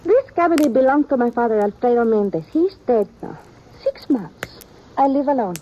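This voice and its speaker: An actress playing a proud, defiant young frontier woman who speaks halting in an old radio drama. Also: fairly high pitch, expressive, natural voice. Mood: guarded. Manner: broken, halting English, proud and defensive. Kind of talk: 1950s radio drama acting. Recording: dull old radio recording with faint hiss.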